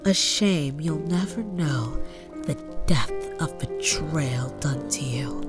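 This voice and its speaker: A woman's voice: low voice, slow pace, loud music behind the voice. A woman playing a sinister, mocking empress in a fantasy audio drama, taunting and theatrical.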